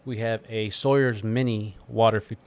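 A man from Mexico whose first language is Spanish, chatting as a podcast guest in an easygoing, halting way. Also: on the low side, fairly even intonation, smooth, no echo. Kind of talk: casual podcast chat.

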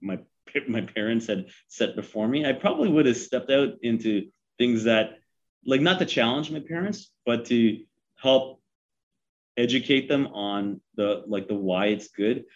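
A man's voice: mid-range voice, expressive, a little slow, highs cut off. A man in his forties, from Sri Lanka, giving advice in a casual interview, talking with many fillers and restarts. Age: 40s. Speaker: guest.

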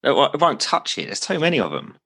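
A man's voice: fairly high pitch, very animated, quick pace, fairly bright tone, breathy edge. A man in his thirties co-hosting a podcast, ranting in exasperation and swearing often. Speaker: podcast co-host. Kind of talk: casual banter.

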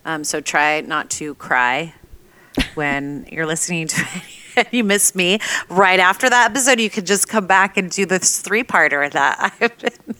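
A woman's voice: fairly low pitch, unhurried, bright, forceful tone, clear, resonant tone, dry recording. A woman co-hosting a casual podcast, with a nasal voice and a giggly, playful way of talking. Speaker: podcast co-host.

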